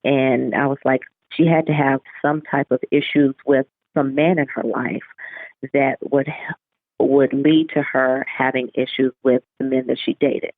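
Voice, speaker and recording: A woman's voice: extremely low pitch, level tone, unhurried, dry recording. A woman author giving reflective, explanatory answers in a conversational interview over a phone line. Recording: tinny, narrow phone-like line.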